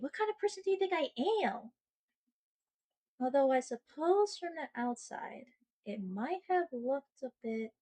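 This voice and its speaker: A woman playing a sweet, sheepish young girlfriend in an ASMR roleplay, speaking to her partner in a halting, flustered way. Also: high voice. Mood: mock-indignant, embarrassed. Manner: halting, stalling, sighing, mock-indignant. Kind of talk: ASMR girlfriend roleplay, acted monologue to the listener.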